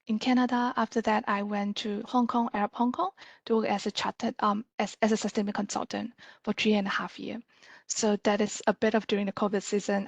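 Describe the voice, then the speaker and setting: Malaysian woman lecturing casually, slightly creaky voice. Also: mid-range voice, no echo. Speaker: guest lecturer, sustainability consultant.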